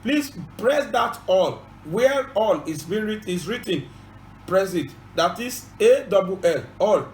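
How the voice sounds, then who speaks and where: High-voiced Yoruba man, echoey room. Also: animated, slow, slight background noise. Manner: earnest, repetitive, formal instructions. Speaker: channel host and presenter. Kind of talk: informative bilingual presentation.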